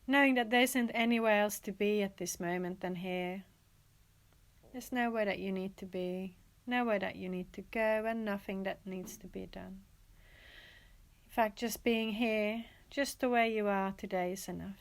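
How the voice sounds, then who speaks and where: A woman teaching yoga nidra, guiding a relaxation in a gentle, soothing manner with pauses and repeated, inviting phrases. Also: medium pitch, steady tone. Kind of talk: guided relaxation meditation.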